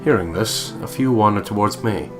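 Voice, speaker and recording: Deep-voiced man reading a story aloud. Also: expressive. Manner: deliberate, storyteller-like, playful putting on of voices. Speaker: gaming video co-player reading the text aloud. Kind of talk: dramatic read-aloud narration of a visual novel. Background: game music.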